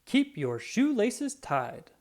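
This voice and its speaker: A storybook reader voicing an earnest male police officer who announces safety tips in a deliberate, emphatic way. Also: mid-range voice, big pitch swings, darkish tone, pitched above the performer's own voice. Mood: earnest, announcing.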